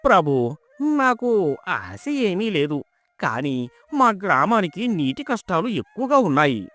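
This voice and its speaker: A man's voice: high-pitched, very animated, slow pace, a bit bright, faint music behind, full character voice. A man playing a sly, greedy, cowardly village headman in a folk tale, ingratiating and easily flustered. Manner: ingratiating, flustered when challenged. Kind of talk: dramatised folk-tale acting.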